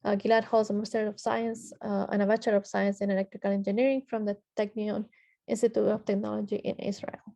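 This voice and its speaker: A woman's voice: mid-range voice, little pitch movement, fast, creaky, dark tone. A woman from Ecuador moderating a technical panel, reading out introductions with frequent filler sounds and restarts.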